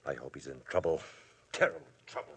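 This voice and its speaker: An actor playing a bitter, jealous husband, speaking in a sardonic way in a 1950s radio drama. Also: male voice, very deep voice, wildly swooping pitch, fast, vocal fry, fairly bright tone, slight background noise, exaggerated voice.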